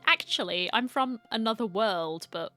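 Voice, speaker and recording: A woman's voice: fairly high pitch, expressive, normal speed, pushed and bright, no room sound, faint music behind. A woman streamer giving casual, playful, teasing commentary as she plays through a game. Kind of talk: casual reaction commentary, reading dialogue aloud.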